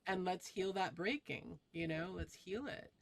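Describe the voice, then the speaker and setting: A woman hosting a video-call chat, asking questions in an expressive, emphatic way. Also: on the low side, unhurried, vocal fry, slight background noise. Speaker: host.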